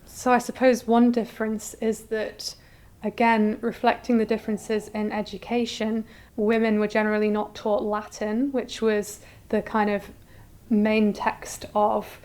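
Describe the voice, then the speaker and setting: A woman curator gives articulate explanations in an interview. Her voice is clean and resonant, at a middling pitch. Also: a little mellow.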